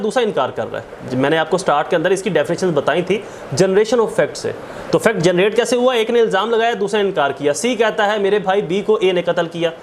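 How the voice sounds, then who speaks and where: A high-voiced man with a Pakistani accent teaches law. He explains through worked examples, repeating himself and posing questions he then answers. English legal terms are mixed into his speech, and a faint background noise sits under the recording. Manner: didactic, repeats points and asks rhetorical questions. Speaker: law lecturer.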